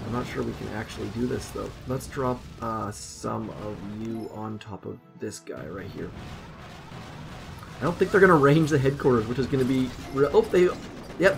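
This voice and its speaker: Gravelly-voiced man chatting over gameplay. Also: mid-range voice. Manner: chatty, self-deprecating, thinks aloud. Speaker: gaming channel host. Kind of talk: casual gameplay commentary. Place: home room.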